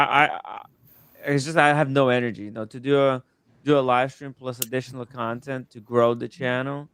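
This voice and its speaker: A man's voice: medium pitch, clear, resonant tone, no room sound. A man hosting a casual livestream chat, thanking viewers and guests with enthusiasm. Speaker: host.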